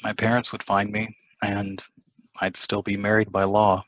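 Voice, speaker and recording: A man's voice: fairly low pitch, completely flat delivery, moderate pace, close to an everyday voice. A man acting a smitten, flirtatious married man from the 1950s in a stage play table read, on a dull lo-fi recording. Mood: bored, frustrated. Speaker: actor at a table reading. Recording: dull lo-fi sound.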